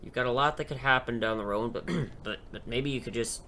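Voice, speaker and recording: A young man playing a caring, dorky English teacher turned superhero in an audio drama, with an earnest, halting delivery. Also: medium pitch, pushed and bright, a little hiss or hum, close to an everyday voice. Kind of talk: hobby audio drama acting.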